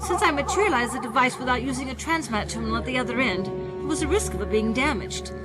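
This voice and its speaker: Lady as a practical sci-fi tech, talks fast, highish voice, brisk, loud music behind. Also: expressive. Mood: focused. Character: brisk, practical young woman station technician.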